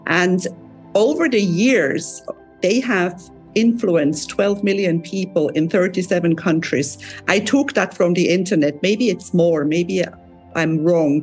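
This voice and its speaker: A woman hosting a podcast interview, effusive and quick to praise and react to her guest. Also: lowish voice, a little mellow, breathy edge. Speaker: podcast host. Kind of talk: interview host. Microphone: laptop video call.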